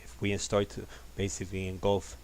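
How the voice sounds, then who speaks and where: A man with a deep voice hosting a live trading stream, talking in a calm, laid-back, casual way. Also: normal speed, slight background noise. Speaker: host of a live trading session. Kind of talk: casual livestream market commentary.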